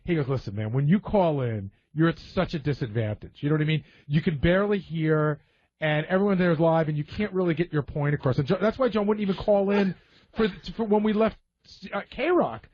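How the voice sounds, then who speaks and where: A man's voice: fairly high pitch, a little fast. A man in casual radio talk, telling stories as a member of the show's staff. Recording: dull, muffled lo-fi audio.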